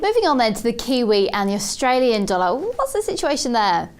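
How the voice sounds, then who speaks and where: A woman's voice: fairly high pitch, very animated, fairly quick, a little hiss or hum. A woman TV interviewer putting questions to a guest in a broadcast style.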